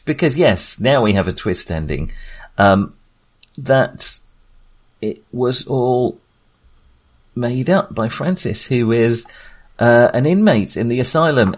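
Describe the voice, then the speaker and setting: A man co-hosting a casual film discussion, speaking in a halting way as he thinks aloud. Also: mid-range voice, a little mellow. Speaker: podcast co-host.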